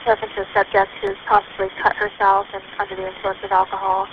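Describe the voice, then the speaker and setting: Fast-talking female dispatcher on noisy radio. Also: fairly high pitch, very animated, vocal fry. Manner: clipped, businesslike. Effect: police radio. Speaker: police dispatcher. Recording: lo-fi, noisy police scanner radio.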